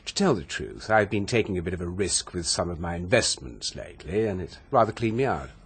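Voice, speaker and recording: An actor playing a shifty, money-hungry nephew with an English accent, breezy, casual and evasive in a radio drama. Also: male voice, sits low, lively intonation, brisk, husky, heavily acted. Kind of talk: radio mystery drama acting.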